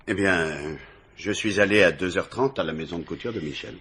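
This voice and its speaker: An actor playing an idle, wealthy young bachelor under questioning in a vintage French radio mystery, urbane, nonchalant and evasive. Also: male voice, deep voice, fairly quick, a bit bright, slight background noise. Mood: guarded, polite.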